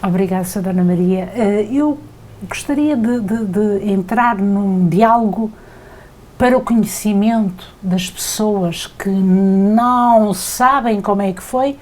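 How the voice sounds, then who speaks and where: A woman hosting an interview, speaking politely and formally, with some halting restarts. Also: mid-range voice, darkish tone. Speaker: host.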